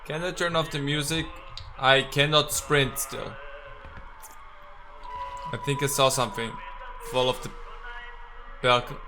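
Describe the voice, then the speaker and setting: A man giving casual let's play commentary as a gaming video host, haltingly thinking out loud as he goes. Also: mid-range voice, fairly even intonation, noisy background.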